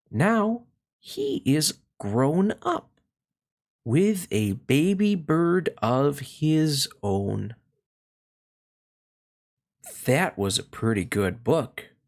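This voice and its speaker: A man hosting a read-along, reading a children's picture book aloud in a slow, expressive way and then chatting about it. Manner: slow, expressive storytelling with swooping pitch.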